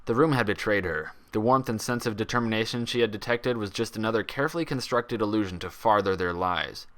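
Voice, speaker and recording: A man's voice: lowish voice, a little fast, fairly bright tone. A man narrating a scripted fan fiction story in a dramatic reading style.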